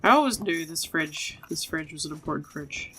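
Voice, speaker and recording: Brief: female gaming commentator, bright and lower-pitched, casual and playful, game music underneath. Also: animated, normal speed, poor audio. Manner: playful, talks to game characters. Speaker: video game streamer. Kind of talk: casual gameplay commentary and reading out game dialogue. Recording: music behind the voice.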